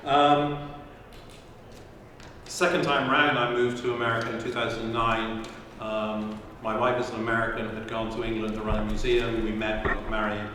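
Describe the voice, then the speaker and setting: A man's voice: on the low side, level tone, normal speed, pushed and bright. A man leading a workshop talks in a chatty, self-deprecating way. His mid-range voice comes from a distance in a very echoey room. A faint hiss sits underneath. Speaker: workshop leader. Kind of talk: workshop introduction. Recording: echoey room from far off with noise.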